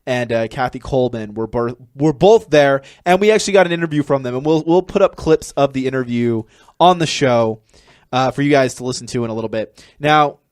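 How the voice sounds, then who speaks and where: A man with an American accent co-hosting a podcast in a casual, rambling, halting way. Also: medium pitch, lively intonation, smooth, no room sound, studio quality. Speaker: podcast co-host. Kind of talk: casual chat.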